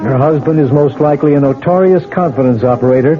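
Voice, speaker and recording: A man's voice: mid-range voice, quick pace, lightly acted. An actor playing a skeptical, probing male police lieutenant who questions a witness and gives firm orders, in a 1970s radio drama. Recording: tinny lo-fi old radio broadcast with music.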